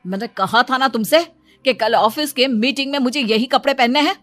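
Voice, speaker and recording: A woman's voice: high voice, fairly quick, a bit bright. A woman voicing a demanding, complaining young husband in a moral story cartoon. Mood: angry, complaining. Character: demanding young husband and his calmer father.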